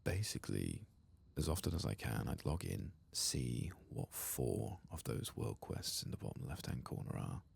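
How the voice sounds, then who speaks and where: A man softly murmuring and half-whispering gameplay commentary in a husky, breathy voice, like an ASMR host. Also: extremely low pitch, big pitch swings, moderate pace, dry recording.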